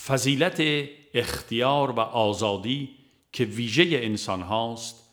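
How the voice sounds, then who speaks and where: A man reading a devotional audiobook in a measured, solemn, formal literary manner. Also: medium pitch, a bit bright. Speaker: audiobook reader. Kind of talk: scripted narration of a devotional text.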